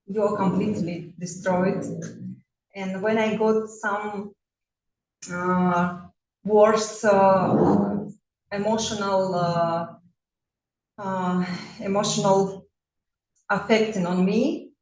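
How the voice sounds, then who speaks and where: A woman in her forties from Russia, a bodywork therapist introducing herself in halting non-native English with a Russian accent, full of fillers and restarts. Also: medium pitch, steady tone, a little mellow, a little hiss or hum. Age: 40s. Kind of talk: self-introduction talk. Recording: roomy echo, mic at a distance.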